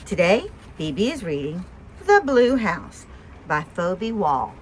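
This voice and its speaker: Expressive woman reading a picture book. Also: on the low side, slow pace, a little hiss or hum. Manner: storytelling with questions to young listeners. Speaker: storybook reader and host. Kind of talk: children's picture-book read-aloud.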